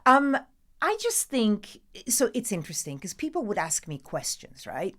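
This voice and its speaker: A woman's voice: medium pitch, very animated, normal speed, fairly bright tone. A middle-aged Italian woman, a newsreader guest, talking casually and emphatically with an Italian accent, often laughing. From Italy. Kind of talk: casual interview chat.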